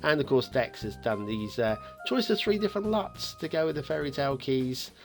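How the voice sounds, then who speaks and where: A man's voice: mid-range voice, very animated, moderate pace, faint music behind. A man hosting a game map review in a chatty, playfully teasing way, commenting casually and off the cuff.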